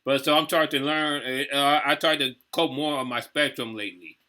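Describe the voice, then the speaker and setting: A man's voice: medium pitch, steady tone, moderate pace, bright, forceful tone. A man in his thirties talking casually as a reaction video host, rambling and often repeating "you know what I'm saying". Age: 30s.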